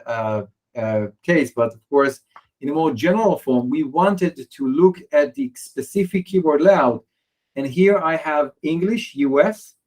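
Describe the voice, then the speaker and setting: Man demoing software, halting. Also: mid-range voice, low-quality recording. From Israel. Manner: hesitant, many fillers and restarts. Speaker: software presenter. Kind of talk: software demo walkthrough.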